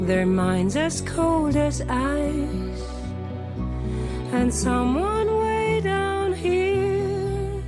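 Woman, high gentle singing, very slow drawn-out lines, loud guitar behind. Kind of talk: slow sung ballad over guitar. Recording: song track with loud guitar.

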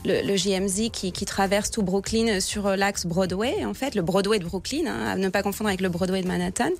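A woman's voice: mid-range voice, a little fast, gravelly. Casual woman guest on radio. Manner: casual, lively, with restarts. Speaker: guest, guidebook author. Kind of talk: radio interview answers.

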